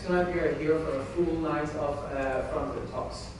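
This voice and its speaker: A man's voice: fairly high pitch, fairly even intonation, moderate pace. A man hosting a tech meetup, introducing the first speaker in a chatty, informal way with some "uh" fillers. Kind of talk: event introduction. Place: large room at a company meetup. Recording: echoey hall, mic far off, audible background noise.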